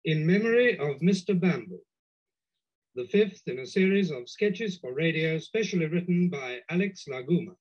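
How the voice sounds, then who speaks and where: A man's voice: fairly high pitch, lively intonation, moderate pace, darkish tone. A man announcing a radio play in a formal 1960s broadcast style. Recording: dull old 1960s radio recording.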